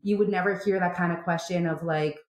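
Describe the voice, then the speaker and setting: A woman's voice: fairly low pitch, steady tone, fairly quick. A Canadian woman, an opera singer, chatting animatedly in a podcast interview, full of fillers and likes. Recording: roomy echo.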